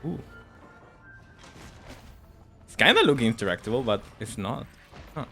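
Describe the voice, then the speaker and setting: A man's voice: medium pitch, big pitch swings, measured pace, bright, forceful tone, soft background music. A man with a Spanish accent whose first language is Spanish, chatting casually while streaming a game, laughing often and repeating words as he stumbles. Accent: Spanish-speaking. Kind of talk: casual gaming livestream chat.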